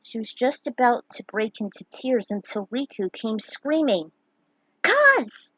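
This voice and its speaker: A woman's voice: fairly high pitch, moderate pace, darkish tone, breathy edge, no room sound, poor audio. A woman reading a scripted fantasy story aloud as its narrator. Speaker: narrator reading own fan fiction.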